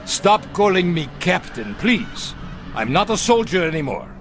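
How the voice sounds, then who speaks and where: An actor playing an evasive, agitated former SS captain in a vintage television drama, halting and blustering as he defends himself. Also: sits high, sing-song, fairly bright tone, faint music behind, heavily acted. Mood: agitated, pleading.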